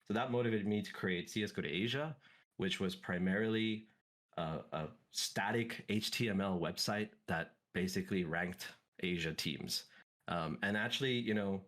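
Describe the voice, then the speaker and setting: A man in his thirties from Singapore, talking as a guest in a casual interview, relaxed and reflective with many fillers and restarts. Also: low-pitched, moderate pace. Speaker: esports team CEO, guest.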